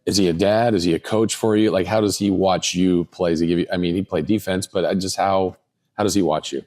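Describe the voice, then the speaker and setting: A man's voice: deep voice, fairly even intonation, a little fast, darkish tone. A man hosting a sports podcast, asking questions in a casual, conversational interview style.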